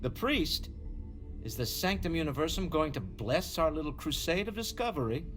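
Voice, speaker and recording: Sarcastic, higher-voiced male sceptic. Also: sing-song, moderate pace, fairly bright tone. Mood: mocking. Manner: sardonic, incredulous. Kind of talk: video game cutscene acting. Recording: faint music behind the voice.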